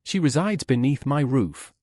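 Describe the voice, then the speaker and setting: A man acts out an older Regency gentleman from a storybook, smooth and controlling. He speaks formally and with condescension, used to giving orders. The pitch is middling but moves a good deal. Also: animated, normal speed, no room sound, studio quality, natural voice. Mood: accusing.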